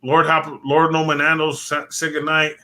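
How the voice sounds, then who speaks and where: Bright-voiced host losing his voice. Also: on the high side, monotone, normal speed. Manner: rambling, repeats words, thanks viewers by name. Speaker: livestream host. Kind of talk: casual livestream chat.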